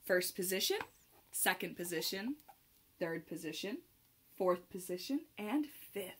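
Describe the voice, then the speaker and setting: A young adult woman reading a rhyming picture book aloud to children in an expressive, sing-song manner with clear phrasing. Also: fairly low pitch, a little slow. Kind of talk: children's read-aloud, rhymed storytelling.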